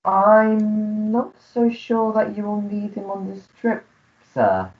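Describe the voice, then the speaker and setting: A woman's voice: medium pitch, lively intonation, slow pace, narrow, tinny audio. A woman playing a long-suffering, deferential English manservant in a comic melodrama radio play, formal and apologetic. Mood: tactful.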